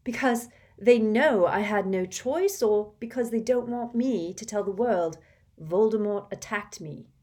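A woman's voice: medium pitch, a little slow, mellow, pitched above the performer's own voice, close to an everyday voice. A woman voicing a frustrated teenage boy wizard who asks bitter questions.

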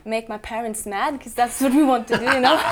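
Young Norwegian woman, higher voice. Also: fairly quick, slight background noise. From Norway. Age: 20s. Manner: friendly, short answers with hesitant fillers. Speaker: guest musician. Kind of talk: casual interview.

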